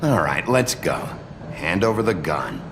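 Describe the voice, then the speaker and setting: An actor playing a gruff, short-tempered sheriff in a video game, blunt and terse. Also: male voice, deep voice, sing-song, measured pace, long reverb tail, noisy background, full character voice. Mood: stern. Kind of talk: dramatic video game acting.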